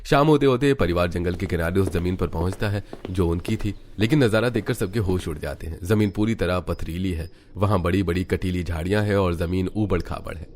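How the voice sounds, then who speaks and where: Deep-voiced male cartoon story narrator. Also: a little fast, few pauses, a little mellow, slight background noise.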